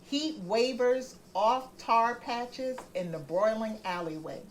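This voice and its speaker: Woman reading a kids bedtime story real slow and soft, faint hum in the room. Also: fairly high pitch, low-quality recording. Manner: slow, gentle reading to children. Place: home room with plants on the table.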